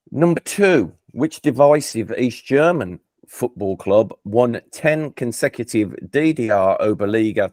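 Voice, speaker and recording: A man's voice: medium pitch, a little mellow, no room sound. A man with a Birmingham accent hosting a casual football quiz, chatty and self-deprecating, often stumbling and restarting his sentences. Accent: Birmingham English. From England. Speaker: quiz host.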